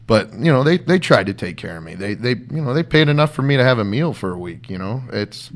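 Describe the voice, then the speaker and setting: A man, a professional wrestler, talking as an interview guest in a rambling, halting way with frequent laughs. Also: medium pitch, sing-song. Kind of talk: casual interview conversation.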